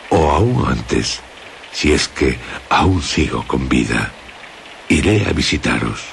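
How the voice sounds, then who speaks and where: An actor playing a sombre, anguished storyteller in a gothic radio drama, solemn and halting, gravelly. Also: male voice, rumbling low, a bit bright, a little hiss or hum, heavily acted. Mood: anguished, weary. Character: sombre, very deep-voiced gothic storyteller reading anguished letter.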